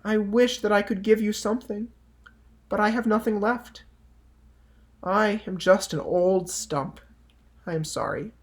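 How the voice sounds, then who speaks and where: A man voicing a gentle, loving female tree in a picture-book read-aloud, coaxing and tender. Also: high voice, steady tone, a little slow, long pauses. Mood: sorrowful, apologetic.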